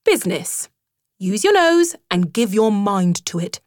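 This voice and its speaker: A woman voicing a kind, resolute female water rat who talks cheerfully and briskly. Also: medium pitch, very animated, a little mellow, no room sound, clean studio sound, pitched above the performer's own voice. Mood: hearty. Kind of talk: storybook character voice.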